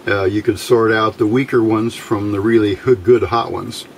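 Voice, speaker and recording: A man with a fairly low voice talks through a hands-on bench demonstration. He explains things in measured short phrases with pauses between them, recorded indoors with some steady room noise behind him. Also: vocal fry, low-quality recording. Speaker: electronics hobbyist demonstrator. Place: indoors at a workbench.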